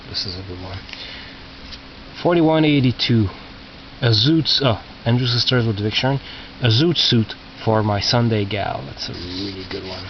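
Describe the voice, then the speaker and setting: A man who is not a native English speaker, casually talking through his collection as a record collector, halting and muttering as he reads out labels, on a cheap video camera microphone. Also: fairly low pitch. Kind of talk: casual narrated show-and-tell of collection. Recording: muffled cheap video camera, loud noise.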